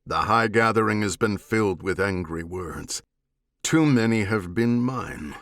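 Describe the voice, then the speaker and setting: Male narrator as alien warrior leader, low voice, solemn, proud, weary, formal, audiobook dialogue. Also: unhurried, a bit bright, no room sound, studio quality. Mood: regretful.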